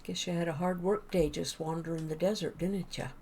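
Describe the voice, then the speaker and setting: Woman chatting thru a game stream, kinda low voice with a gravelly edge, rambly. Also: darkish tone, a little hiss or hum. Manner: chatty and rambling, thinks aloud. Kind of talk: casual gameplay chat with viewers.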